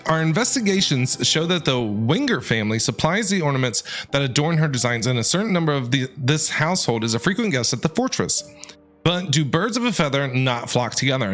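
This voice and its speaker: A man's voice: on the high side, big pitch swings, fairly quick, a bit bright, soft background music. A man streamer reading game text aloud expressively and reacting with casual, slangy asides.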